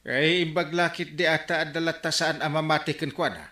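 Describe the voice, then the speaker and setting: A man's voice: on the high side, fairly even intonation, fairly quick, bright, forceful tone, roomy sound. An actor playing a put-upon male village captain in an Ilocano comedy radio drama, with an Ilocano Filipino accent. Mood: exasperated.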